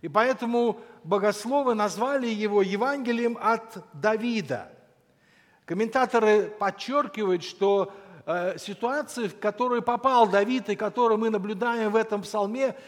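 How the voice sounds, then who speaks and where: Male preacher, notably high-pitched voice, solemn and deliberate sermon delivery, long hall reverb. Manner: solemn, deliberate, with quotations and scripture; frequent throat clearing. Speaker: preacher closing a church conference.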